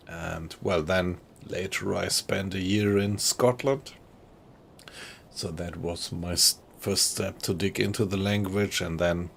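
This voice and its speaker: A middle-aged man from Germany with a German accent, chatting in a friendly, halting way with viewers on a live stream. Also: low voice, vocal fry, slight background noise. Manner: thoughtful, friendly, halting with fillers.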